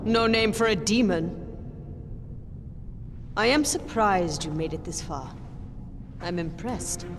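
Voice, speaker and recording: Slow, imperious villainous warrior queen. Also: fairly low pitch, animated, background noise. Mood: cold contempt. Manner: grand, declamatory, deliberate. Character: imperious villainous warrior sorceress ruler, proud, wrathful, contemptuous. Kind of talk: theatrical video game villain acting.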